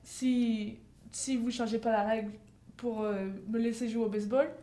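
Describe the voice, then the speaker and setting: A woman's voice: fairly high pitch, level tone, moderate pace, warm tone. A young woman from France, answering interview questions fluently. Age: young adult. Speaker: guest, softball player.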